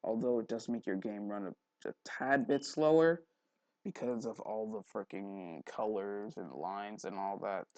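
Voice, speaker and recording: A young man giving a casual software tutorial, thinking aloud as he rambles along. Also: lowish voice, a little slow, no room sound, lo-fi sound. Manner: rambling, thinking aloud, frequent throat clearing. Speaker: tutorial host. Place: indoors at a computer, voice-over for screen recording.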